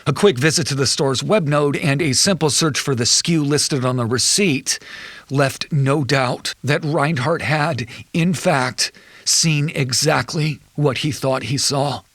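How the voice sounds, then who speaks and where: An actor playing a betrayed husband, an accountant, in a measured, wry and bitter confession for an audio drama. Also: male voice, a little high, a bit bright, no echo. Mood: resigned, wry. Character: betrayed husband, an accountant recounting his wife's affair.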